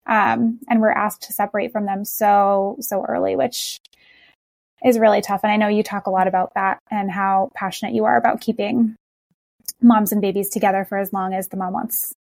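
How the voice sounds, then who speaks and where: Woman podcast host, chatty and anecdotal, vocal fry, dry close sound. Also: mid-range voice, little pitch movement, moderate pace, dry recording. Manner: chatty, warm agreement, personal anecdotes. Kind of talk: casual podcast interview.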